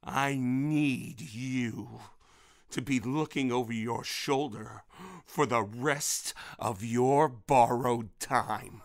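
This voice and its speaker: A man acting in an audio drama as a menacing, grieving middle-aged father protecting his daughter, speaking with halting intensity. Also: mid-range voice. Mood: furious, threatening. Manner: intense, halting, with repeated words.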